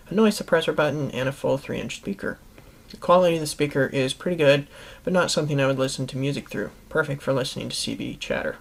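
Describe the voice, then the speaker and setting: A man's voice: medium pitch, creaky. A man hosting a how-to video, giving instructional narration to viewers. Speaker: host of a how-to product video series.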